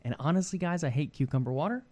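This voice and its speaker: A man co-hosting a gaming podcast, relaxed and quick to laugh, sounding tired with a worn throat. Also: on the high side, no echo, dull audio. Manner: easygoing, laughs often. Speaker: podcast co-host. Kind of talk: casual gaming podcast chat.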